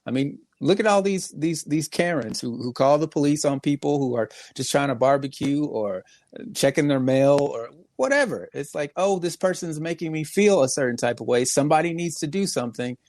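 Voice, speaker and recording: A man's voice: a little high, animated, a little fast, darkish tone, no echo. A man who is a guest on a podcast, talking in an emphatic, explanatory way in casual discussion.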